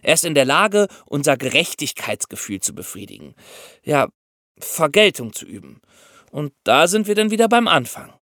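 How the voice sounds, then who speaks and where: A man's voice: a little high, sing-song, moderate pace, pushed and bright, dry recording, clean studio sound. A man co-hosting a podcast for young listeners, explaining ideas step by step. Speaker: podcast co-host explaining law.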